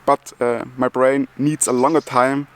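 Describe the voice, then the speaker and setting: Slow-talking German man who stutters. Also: mid-range voice, level tone, smooth. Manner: stutters with repeated sounds and restarts; chuckles between phrases. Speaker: YouTuber. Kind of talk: casual vlog talk.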